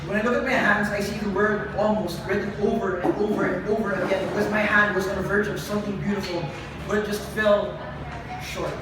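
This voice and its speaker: A young adult poet performing confessional spoken word live, with a dramatic, deliberate delivery and emphatic repetition. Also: mid-range voice, steady tone. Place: live event venue with room ambience. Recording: echoey live room, distant mic, noisy.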